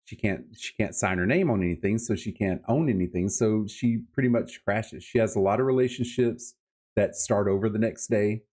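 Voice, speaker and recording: Low-pitched guy hosting a book podcast, super into it, casual and emphatic. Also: moderate pace, a little mellow. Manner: enthusiastic, emphatic, colloquial. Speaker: podcast host reviewing books. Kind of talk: casual book-review chat.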